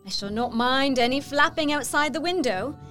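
A young Victorian lady in a radio play. Her voice is fairly high, sweet and genteel, gushing with trust and gratitude, with music behind it. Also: expressive, normal speed, a bit bright. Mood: dreamy, content. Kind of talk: dramatic audio drama acting.

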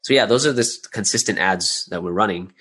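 Male, lower-pitched, conversational guest explaining things in a halting, think-aloud way. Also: fairly bright tone, husky. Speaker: interview guest, audio drama creator and business owner. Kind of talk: casual conversational interview answers.

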